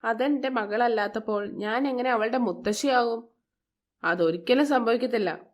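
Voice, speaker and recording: A resentful middle-aged stepmother from a moral tale, acted out by a woman storyteller. Her fairly high voice carries a sharp, scolding edge, full of complaint and sarcasm. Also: moderate pace, muffled recording, lightly acted. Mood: angry, scolding.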